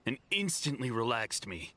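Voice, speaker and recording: A man's voice: mid-range voice, sing-song, moderate pace, bright, forceful tone. A man playing a gullible, enthusiastic follower of a guru in an anime video game, speaking earnestly and eagerly. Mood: blissful, enthusiastic. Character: gullible, enthusiastic male devotee of a counseling guru.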